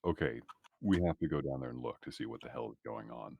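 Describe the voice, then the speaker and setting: A man's voice: very deep voice, quick pace, vocal fry, husky, dry recording, narrow, tinny audio. A man playing a tabletop roleplaying game, bantering casually in a joking way.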